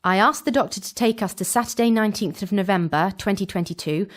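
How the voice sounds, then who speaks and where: A woman's voice: mid-range voice, fast talker, natural voice. Frank time-travelling companion, played by a woman. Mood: storytelling. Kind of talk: audio drama recap narration.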